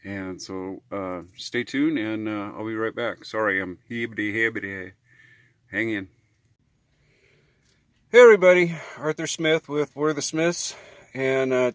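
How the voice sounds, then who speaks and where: A man's voice: medium pitch, moderate pace, dry recording. Folksy American man rambling casually, dry recording. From United States. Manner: folksy, rambling, homespun slang. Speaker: vlogger on RV living and repairs. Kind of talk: casual unscripted vlog talk.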